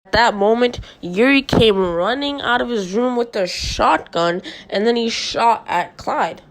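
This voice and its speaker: A woman's voice: fairly high pitch, unhurried. A woman playing an earnest, determined detective's assistant who narrates a radio play in plain first-person storytelling. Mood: urgent.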